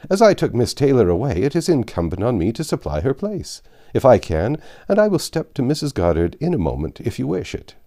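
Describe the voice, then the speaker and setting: A man reading a classic novel aloud, voicing a hearty, persuasive middle-aged gentleman in a brisk, courteous manner. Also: medium pitch, sing-song, pitched above the performer's own voice. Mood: eager, obliging. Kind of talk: audiobook character voice.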